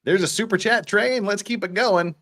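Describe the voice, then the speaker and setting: A man's voice: sits high, moderate pace, fairly bright tone. A man in his fifties hosting a livestream, chatting casually and reading out viewer comments in a rambling, jokey, giggly way. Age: 50s. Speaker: livestream host.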